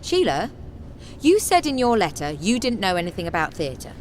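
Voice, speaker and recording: An actress playing a brisk, decisive female theatre director in a radio drama. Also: mid-range voice, big pitch swings, a little hiss or hum, close to an everyday voice. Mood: earnest, persuasive. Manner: commanding, decisive.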